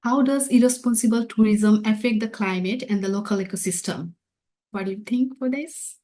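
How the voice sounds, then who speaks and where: A woman with a Nepali accent hosting a webinar question session, polite and somewhat halting in her phrasing. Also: mid-range voice, mellow. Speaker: webinar host. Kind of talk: moderating a question-and-answer session.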